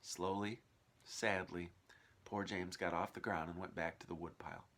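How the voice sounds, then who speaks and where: A man's voice: deep voice, fairly even intonation, moderate pace, a bit bright. A man reading a children's story aloud as narrator, with dramatic, varied emphasis.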